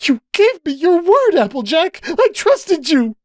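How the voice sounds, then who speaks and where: Shrill, distraught pony mare. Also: sing-song, unhurried, a little mellow, exaggerated voice. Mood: hysterical, betrayed. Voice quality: shrill, strained. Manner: gasping, pleading, dramatic. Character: distraught female pony, tearful, hysterical and hurt.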